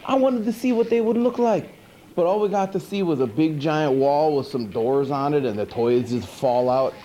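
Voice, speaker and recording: A man as a goofy high-pitched monster TV host, muffled hiss. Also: normal speed, dark tone, lightly acted. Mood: casual, showmanlike. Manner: casual, rambling, mock-indignant slangy delivery. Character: goofy chatty monster horror-show host, excitable and sardonic. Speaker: monster movie show host. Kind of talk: comic movie recap monologue. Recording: noisy 1980s VHS TV recording.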